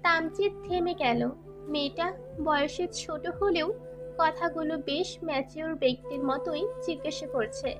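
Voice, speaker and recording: A woman's voice: high voice, moderate pace. A woman reading scripted dramatic narration as the narrator of a romantic audio story. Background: background music.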